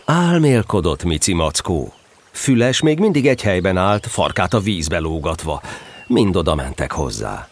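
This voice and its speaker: A man narrating a scripted children's story as an expressive storyteller. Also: low voice.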